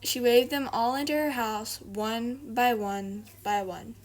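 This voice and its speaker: High-voiced woman reading a children's story. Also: fairly even intonation, slow pace. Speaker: storybook reader, video host. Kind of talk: children's read-aloud narration.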